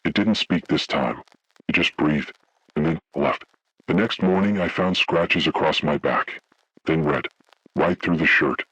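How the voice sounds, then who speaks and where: Guy playing a haunted man telling a scary story, super deep raspy voice, slow ominous phrasing, grave and full of dread. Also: fairly bright tone, no room sound, heavily acted, low-quality recording. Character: haunted man recounting childhood motel horror, grave, dread-filled. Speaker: horror story narrator. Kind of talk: scripted first-person horror narration.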